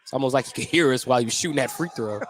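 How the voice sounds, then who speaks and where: A man's voice: mid-range voice, racing, slight background noise. A man calling a live basketball game on the radio as the play-by-play announcer. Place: at courtside or press position in a gym during a game.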